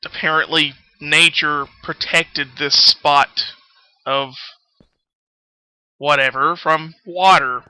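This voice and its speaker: Guy streaming a game, clear crisp voice, really dry close sound, rambly sweary self-mocking chat. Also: fairly high pitch, steady tone, takes it slowly, pauses often, pushed and bright, no room sound, rough recording. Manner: rambling, self-deprecating, profane. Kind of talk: casual livestream chat while playing a game.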